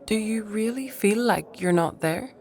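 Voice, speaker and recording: A woman playing a steady, caring and gently teasing confidante in a dark fantasy audio drama, speaking calmly and sincerely. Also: medium pitch, measured pace, lightly acted. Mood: earnest.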